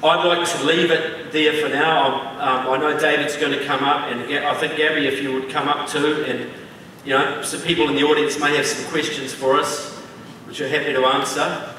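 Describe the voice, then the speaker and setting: A man giving informal closing remarks as a guest speaker, measured and a little hesitant. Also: steady tone, sharp tone. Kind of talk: closing remarks of a public talk. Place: event hall with audience. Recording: echoey hall, distant mic, low rumble.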